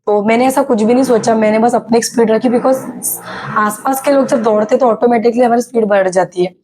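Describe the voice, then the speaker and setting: Young woman vlogging, bit hoarse from a sore throat, mellow tone, lots of umms, chatty. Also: a little high, a little fast, slight background noise, poor audio. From Maharashtra, India. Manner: chatty, many hesitations and English words mixed in. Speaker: YouTuber sharing her exam experience. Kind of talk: casual vlog storytelling.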